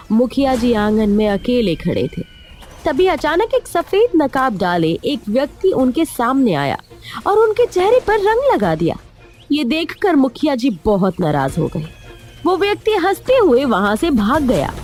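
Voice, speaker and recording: A woman's voice: sits high, darkish tone. A woman narrating a scripted cartoon moral story as a storyteller.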